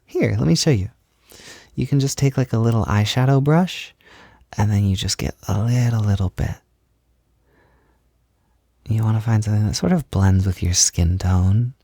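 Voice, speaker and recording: A man playing a tender, encouraging partner in an intimate roleplay audio, speaking softly in a gentle, hesitant, reassuring way. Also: mid-range voice, no room sound, studio quality. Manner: gentle, reassuring, hesitant, with breathy whispered moments. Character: tender, encouraging man comforting partner while doing makeup. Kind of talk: intimate roleplay audio, soft ASMR talk.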